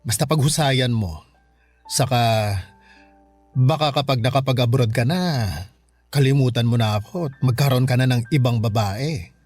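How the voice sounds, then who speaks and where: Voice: medium pitch, normal speed, darkish tone, close to an everyday voice. With music playing underneath, an actor plays a forgiving, doting Filipino parent in a radio drama. The delivery is coy, campy and full of feeling, with a playful, dramatic flair. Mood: tender, clingy. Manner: playful, campy, emotional, slang-laden.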